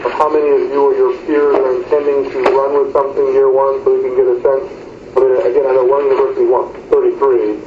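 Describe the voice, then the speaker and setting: A man's voice: medium pitch, flat delivery, fast talker. A man chairing a work discussion on a telephone conference call, informal as he steers the talk. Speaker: meeting chair. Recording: muffled phone conference line, echo, faint hiss.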